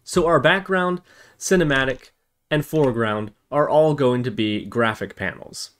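A man hosting a software tutorial, explaining each step as he narrates a screen recording. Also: medium pitch, unhurried. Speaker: tutorial host.

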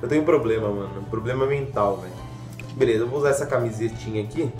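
A man's voice: mid-range voice, fast talker, darkish tone, echoey room, soft background music. A young adult man giving casual, rambling gameplay commentary, full of slang and self-mocking jokes, a little congested from a cold. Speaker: gaming youtuber.

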